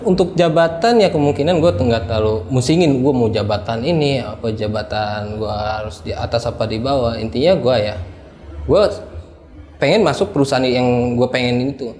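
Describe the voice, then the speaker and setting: A man's voice: medium pitch, normal speed, darkish tone. Echoey young man. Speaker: interviewee. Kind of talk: casual interview answers. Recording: echoey, faint background noise.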